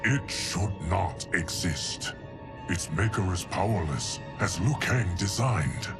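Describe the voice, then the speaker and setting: An actor playing a stern, all-knowing sage in a video game, speaking deliberately and formally. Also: male voice, sits low, lively intonation, over music, full character voice. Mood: grave. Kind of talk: dramatic video game cutscene acting. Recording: loud game score behind the voice.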